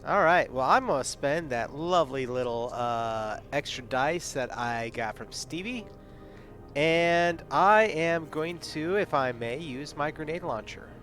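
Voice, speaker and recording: A man's voice: a little high, lively intonation, unhurried, a bit bright, soft background music. Chatty man thinking aloud over dice. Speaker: player. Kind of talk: casual game talk.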